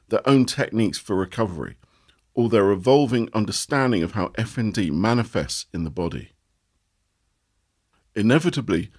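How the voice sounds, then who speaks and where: A man reading a first-person essay aloud as scripted narration, in an expressive, measured manner. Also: fairly low pitch, slightly rough. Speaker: audio article reader.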